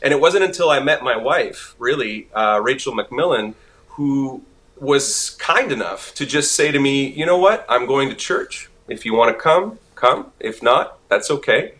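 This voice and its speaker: Montreal guy, french is his first language, talky and laughing a lot, bright voice. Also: medium pitch, animated, measured pace. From Montreal, Canada. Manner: chatty, laughs easily. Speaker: guest actor. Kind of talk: casual interview chat.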